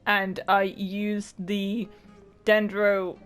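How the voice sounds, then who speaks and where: A woman's voice: medium pitch, level tone, very slow, fairly bright tone. A woman giving casual, chatty, reactive let's play commentary with plenty of swearing. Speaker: gaming video creator. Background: game music.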